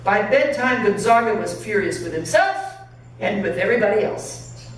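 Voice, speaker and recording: A woman narrates a story with expressive, dramatic delivery, like a pastor reading to a congregation. Her pitch is average. She is recorded at a distance in a very echoey church, with faint noise in the recording. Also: normal speed. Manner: dramatic reading, acting out dialogue. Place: church sanctuary.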